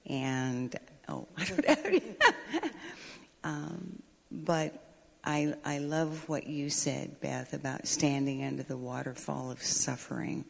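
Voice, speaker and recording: A woman sharing thoughts in a church group discussion, earnest and halting, with what she calls a loud voice. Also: sits low, vocal fry.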